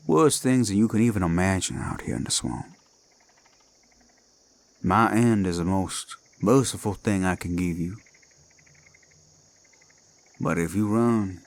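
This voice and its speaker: A man's voice: low-pitched, a little mellow. A man doing a drawling Cajun werewolf villain. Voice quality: drawling, slow and taunting. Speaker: villain in audio drama. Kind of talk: horror audio roleplay. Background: faint eerie music and crickets.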